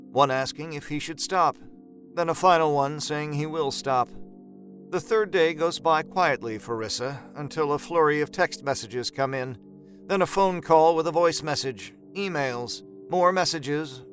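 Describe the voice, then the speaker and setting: A man's voice: on the high side, fairly bright tone. A man narrating a scripted horror story as part of an audio drama.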